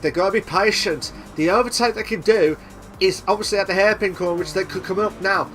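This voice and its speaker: Young guy commentating sim racing, high bright voice going hoarse, hypes every overtake, faint music under it. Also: fairly quick. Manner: excitable, repeats himself, shouts at big moves. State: losing his voice. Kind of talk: live race commentary.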